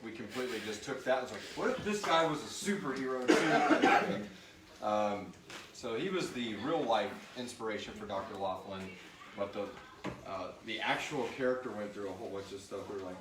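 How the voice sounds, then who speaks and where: A man's voice: fairly low pitch, lively intonation, normal speed. A man hosting an informal, jokey live Q&A as a podcast creator, reading questions and chatting through the answers. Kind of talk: casual live Q&A chat. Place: room with group on live stream. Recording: roomy, distant mic, noisy live stream.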